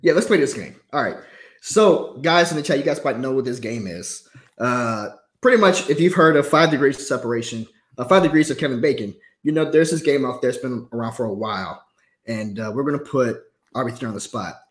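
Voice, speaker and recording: A man's voice: medium pitch, expressive, brisk. A man hosting a casual podcast, explaining a game and talking to the live chat in an upbeat way. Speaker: podcast host. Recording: roomy echo.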